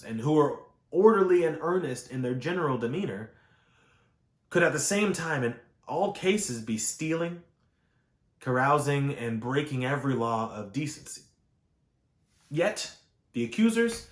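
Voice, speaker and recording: A man reading a scholarly history text aloud as a narrator, in a measured, emphatic and formal delivery. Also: fairly high pitch. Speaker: audiobook reader. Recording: echoey room.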